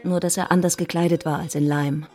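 A woman narrating a literary radio play in a composed, measured, scripted style. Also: low voice, fairly even intonation, dark tone, faint music behind.

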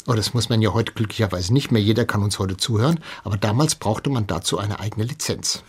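A man's voice: on the low side, a little fast, slightly rough. A man in a radio studio explaining history as an expert interview guest, speaking freely.

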